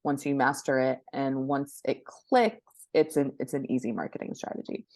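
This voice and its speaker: Low-voiced woman explaining, close dry sound. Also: fairly even intonation, normal speed, a little mellow, no echo. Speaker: guest book marketing expert. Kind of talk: conversational interview explanation.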